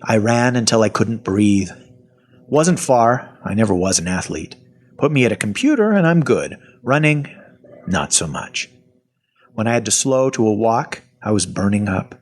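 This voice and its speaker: A man's voice: on the low side, animated, normal speed. An actor playing a wry, self-deprecating survivor of a zombie apocalypse, telling his story in a folksy, sardonic first person. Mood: wry, weary. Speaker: actor narrating an audio fiction story. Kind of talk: first-person storytelling, dramatic audio fiction.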